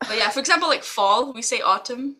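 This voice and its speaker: A young woman from Macau co-hosts a casual podcast, rambling through her stories and giggling often. Her voice is bright and forward, with a crisp edge. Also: on the high side, animated, quick pace. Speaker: podcast co-host. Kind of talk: casual chat.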